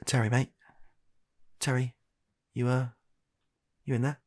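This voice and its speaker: A British man with a gravelly, husky voice performing a comedic medical roleplay in ASMR style as a doctor, speaking in an exasperated, hesitant, awkwardly deadpan way. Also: medium pitch, unhurried.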